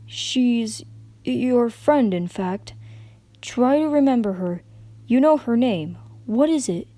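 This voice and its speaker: A woman voicing a calm, reassuring pony doctor who speaks in a measured, careful way. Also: fairly high pitch, no echo, pitched above the performer's own voice. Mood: patient coaxing.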